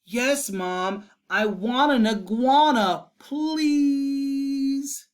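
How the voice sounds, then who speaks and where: A man's voice: extremely high pitch, very slow, voice pushed up, exaggerated voice. A man voicing an eager young boy in a storybook, earnest and wheedling as he tries to persuade his mother. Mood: pleading. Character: eager pleading young boy writing letters to mom. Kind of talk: storybook read-aloud for children.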